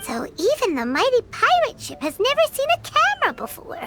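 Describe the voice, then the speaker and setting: A woman's voice: extremely high pitch, huge pitch swings, a little fast, over loud music, exaggerated voice. A woman voicing a tiny, childlike fairy companion in a video game, cheeky and curious, with a perky, cutesy way of talking. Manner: perky, cutesy, speaks of herself by name. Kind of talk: video game character acting.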